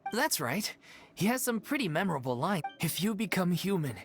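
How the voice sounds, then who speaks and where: A woman's voice: on the low side, very animated, fairly quick, soft background music. A woman voicing an easygoing, cheeky, loyal young male troupe actor in a video game, with a laid-back delivery.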